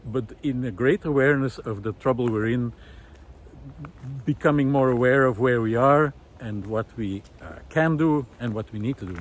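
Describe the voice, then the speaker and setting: A man speaking as a vlogger in a reflective, unscripted monologue outdoors, with earnest, halting, hesitant phrasing. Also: mid-range voice. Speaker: vlogger, former visual artist. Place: outdoors in a park. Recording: outdoor ambience with clear traffic noise.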